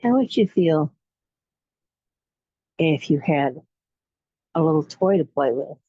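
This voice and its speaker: A woman leading a guided relaxation meditation in a soothing, unhurried way, pausing between short phrases. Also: sits low, lively intonation, warm tone, breathy edge, no echo, lo-fi sound. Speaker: guided imagery facilitator.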